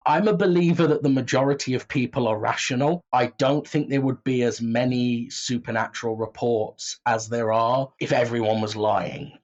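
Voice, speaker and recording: A man's voice: medium pitch, lo-fi sound. A man chatting casually in an interview as a writer and actor of audio drama.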